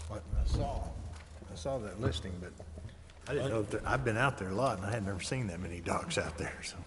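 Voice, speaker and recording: Low-pitched man speaking in a reverberant chamber. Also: brisk. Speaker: council member. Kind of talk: casual meeting remarks. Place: large indoor council chamber. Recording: reverberant council chamber, room noise.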